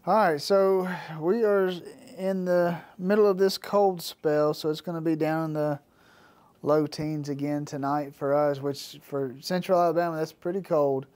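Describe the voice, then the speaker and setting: A man's voice: fairly high pitch, flat delivery, a little mellow. Nasal-voiced man vlogging from a farm. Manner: halting, many fillers and restarts. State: nasal from a cold. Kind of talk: casual talk to camera. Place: barn at night.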